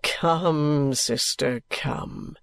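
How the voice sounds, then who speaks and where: Man acting a restless young gentleman, higher-pitched, creaky. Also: fairly even intonation, unhurried, dry recording. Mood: insistent. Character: restless young gentleman, affectionate but bitter and evasive. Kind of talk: acted dialogue in a classic novel audiobook.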